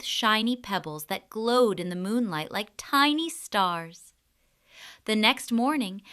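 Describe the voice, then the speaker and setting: A woman reads a children's fairy tale as storyteller. Her voice is bright and forward, and she takes her time, shaping each phrase expressively. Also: medium pitch, smooth. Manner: expressive, deliberate storytelling delivery.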